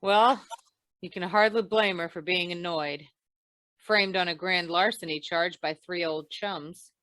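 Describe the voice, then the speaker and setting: A woman playing a tough, wry female insurance investigator in an old-time radio noir, clipped, deadpan and probing. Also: lowish voice, unhurried, no room sound.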